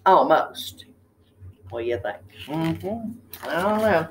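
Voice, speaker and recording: A woman's voice: low-pitched, animated, slow pace, a little hiss or hum. An older woman reading a children's picture book expressively, with chatty asides and questions to the listeners. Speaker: read-aloud storyteller. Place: indoor room with tiled ceiling.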